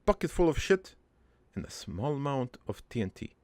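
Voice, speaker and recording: Guy doing a gruff croaky army vet prankster for a cartoon, crude, halting matter of fact storytelling, really dry sound. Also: medium pitch, sing-song, a little mellow, no echo. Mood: proud, mischievous. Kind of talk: improvised in-character cartoon monologue.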